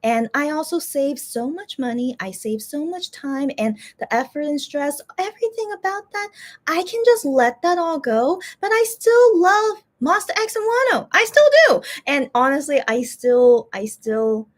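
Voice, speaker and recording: A woman speaks in a somewhat high voice, in the informal style of a vlogger. She talks straight to her viewers in casual chat. She often says a phrase again to drive it home. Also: animated, a little slow. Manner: repeats phrases for emphasis.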